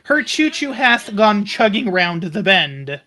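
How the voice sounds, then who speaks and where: A man in casual tabletop game talk, explaining things in a deliberate, conciliatory way. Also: high-pitched, big pitch swings, sharp tone.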